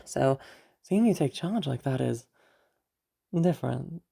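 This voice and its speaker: Low, husky, sly villainess, acted by a woman. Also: lively intonation, exaggerated voice. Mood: hesitant, restrained. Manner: teasing, slow, hesitant pauses with soft hums and sighs. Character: sly, teasing villainous woman, a beast, menacing yet tender. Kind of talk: romantic audio roleplay, intimate monologue to a listener.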